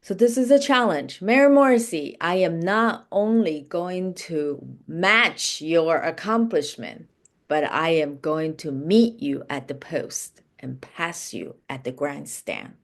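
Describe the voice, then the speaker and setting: Low-pitched woman coach with unhurried delivery. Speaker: life and business coach. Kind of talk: motivational coaching session.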